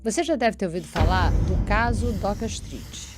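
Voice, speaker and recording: A woman gives scripted podcast narration. Her voice sits at a middle pitch, with very loud music behind it. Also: moderate pace.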